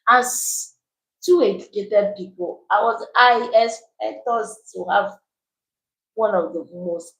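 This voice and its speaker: A woman in a casual chat with her husband, explaining her side. She speaks in a measured, deliberate way. Her voice sits at an ordinary middle pitch. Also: vocal fry, poor audio. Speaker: wife co-hosting a couple's channel.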